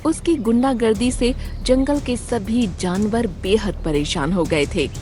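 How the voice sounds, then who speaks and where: A woman's voice: on the high side, a little slow, mellow, soft background music. A woman narrator reading a scripted children's animal story.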